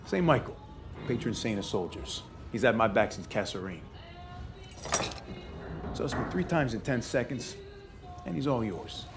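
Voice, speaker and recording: A sour, folksy American soldier in a war game. His mid-pitched voice swings widely as he goads and taunts, with music clearly behind. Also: big pitch swings, unhurried, pauses often. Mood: goading.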